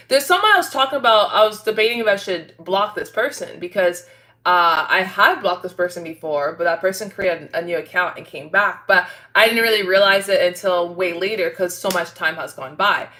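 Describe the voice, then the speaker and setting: A woman hosting a casual livestream, chatting to her viewers in an animated, giggly, slangy way. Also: medium pitch, moderate pace. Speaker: livestream host reading and answering viewer chat.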